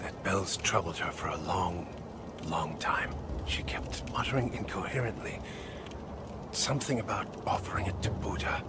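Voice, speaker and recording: A man voicing a dying vassal who speaks faintly, haltingly and earnestly, in game audio with ambient noise. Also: low voice, animated, loud music behind the voice. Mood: weak, earnest.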